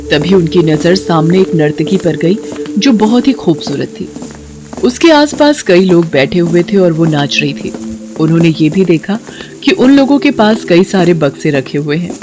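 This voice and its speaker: A woman's voice: on the low side, expressive, normal speed. A woman narrator reading a scripted story in a dramatic storytelling style.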